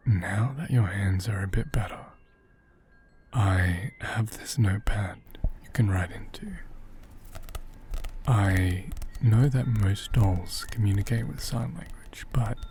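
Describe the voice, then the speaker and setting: A man performs a tender, caring male caretaker in an ASMR roleplay, soothing a fragile doll. His voice is low and mellow, soft and often whispered. He speaks very slowly, with careful, reassuring hesitation. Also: slight background noise.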